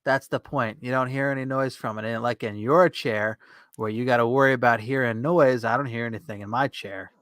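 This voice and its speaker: Casual male podcast host, mid pitch. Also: fairly even intonation, brisk, a bit bright, no room sound, studio quality. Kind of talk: casual chat, bantering.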